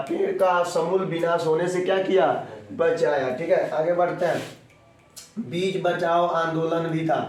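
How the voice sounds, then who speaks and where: A man teaches a school class from far off in a very echoey room. He sounds like he has a sore throat, and he drills each point with repetition and quick questions to the pupils. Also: a little high, darkish tone. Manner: didactic, repeats points and quizzes the pupils. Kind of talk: classroom geography lesson for school children. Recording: very echoey room, distant mic.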